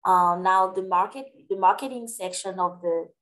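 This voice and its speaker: A woman's voice: lowish voice, normal speed, fairly bright tone. A woman with a French accent, a native French speaker, answering questions in a live webinar over a video call with many fillers. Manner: explanatory, hesitant fillers, friendly. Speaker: webinar presenter. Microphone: webcam on video call.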